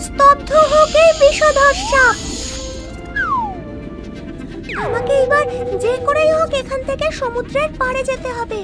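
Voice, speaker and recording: An extremely high, squeaky little magical creature, plucky and excitable. The childlike voice exclaims dramatically over loud music. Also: normal speed. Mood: determined. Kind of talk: children's cartoon dialogue. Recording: music playing behind the voice. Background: loud playful cartoon music.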